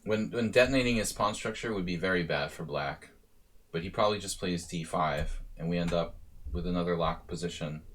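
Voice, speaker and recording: A man's voice: sits low, fairly quick, a bit bright. A man streaming live chess analysis as a coach, thinking aloud in a casual way with sudden bursts of emphasis.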